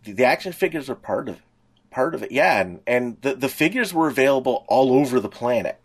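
A man's voice: mid-range voice, lively intonation, brisk, husky. A man co-hosting a podcast, chatting casually and in a rambling way. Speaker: podcast co-host. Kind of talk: casual chat about pop culture.

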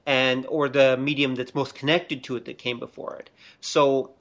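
Male co-host, average pitch, casual explainer in a discussion. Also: level tone, normal speed, fairly bright tone. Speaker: podcast co-host, media studies teacher.

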